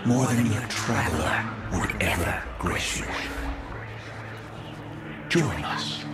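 Godlike alien game villain, low voice, extremely slow, solemn, hushed endings, loud score behind. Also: male voice, animated, loud music behind the voice. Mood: grandiose, tempting. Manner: solemn, deliberate, hushed at phrase ends. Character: calm godlike alien villain tempting a warrior, grandiose. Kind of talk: dramatic video game voice acting.

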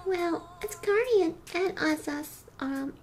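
A woman voicing a tiny, caring, wise female sprite in an audio drama, speaking gently and carefully. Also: very high voice, lively intonation, slow pace, exaggerated voice. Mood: solemn. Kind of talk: fan audio drama acting. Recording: music under the voice.

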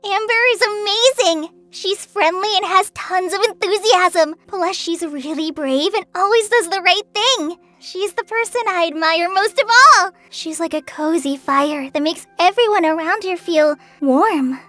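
A woman's voice: very high voice, measured pace, few pauses, heavily acted. A woman voicing a shy, earnest young trainee forest ranger girl in a video game, hesitant and sincere. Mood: admiring. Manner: hesitant, soft-spoken, sincere. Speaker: game character voice. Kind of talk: scripted video game character lines. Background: background music.

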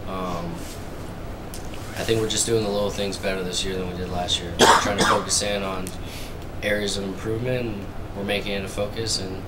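A man's voice: deep voice, flat delivery, brisk, heavy background noise. A man in his early twenties from Arizona, a college baseball player giving plain-spoken, matter-of-fact answers at a postgame press conference. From Arizona, USA. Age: early 20s. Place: indoor press conference table. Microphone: table microphone.

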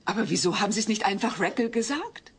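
A woman's voice: medium pitch, animated, fast talker, breathy edge. An actress playing a tactful, kindly middle-aged mother in a German audio play, with background noise. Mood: bemused.

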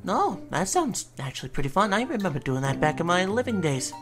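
A brash, flirty demon guy acted by a woman in a cartoon comic dub, low for a female voice and swinging widely in pitch. He talks in sassy slang and sugary pet names. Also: sing-song, brisk, music in the background. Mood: candid, wry.

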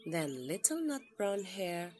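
A woman storyteller reading a children's picture book aloud, with expressive, deliberate phrasing. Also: on the low side, a little hiss or hum. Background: music under the reading.

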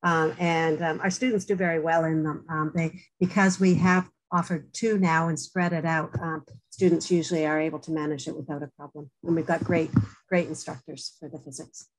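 A woman's voice: sits low, steady tone, moderate pace, poor audio. A middle-aged woman from Canada with a Canadian accent, a university radiography lecturer answering on a webinar panel in an earnest, encouraging way with many ums, heard through a headset on a video call.

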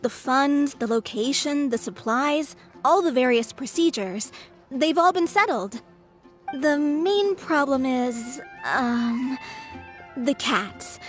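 Game role: earnest, fretful young shelter owner, high bright voice. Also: female voice, lively intonation, slow, soft background music. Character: earnest young woman running a cat shelter, anxious. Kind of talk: video game voice acting.

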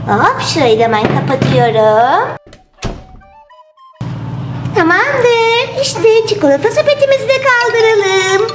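A woman's voice: very high voice, very animated, takes it slowly, long pauses, exaggerated voice. A woman voicing a cheerful, crafty little girl in a children's toy play, exclaiming and explaining each step in a childlike way. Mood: pleased, busy. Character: cheerful little girl hiding her sweets, playful and crafty. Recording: roomy voice over loud music.

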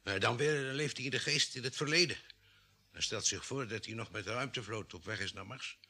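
An actor playing a thoughtful ship's doctor who reasons and explains in a vintage radio drama. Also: male voice, medium pitch, brisk, bright, forceful tone, husky. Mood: pondering. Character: thoughtful male ship's doctor on space expedition.

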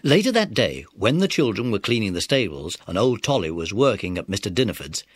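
An actor as the narrator of a children's adventure, reading scripted narration in a measured way. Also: male voice, medium pitch, breathy edge, no echo, clean studio sound.

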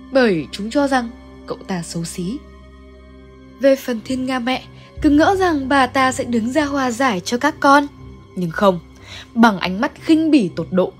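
A woman reads a scripted story recap as narrator. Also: on the high side. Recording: music under the voice. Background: background music.

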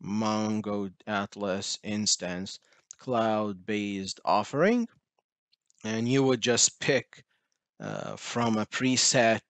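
A man's voice: on the low side, a bit bright, smooth, no echo. A man giving a technical talk over slides, explaining step by step with frequent "uh" fillers. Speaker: presenter.

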